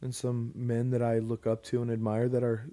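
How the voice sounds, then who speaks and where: A man's voice: mid-range voice, monotone, moderate pace, dark tone. Male podcast co-host, casual and teasing, mid-range voice, lots of restarts. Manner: joking, teasing, restarts a lot. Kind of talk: casual banter.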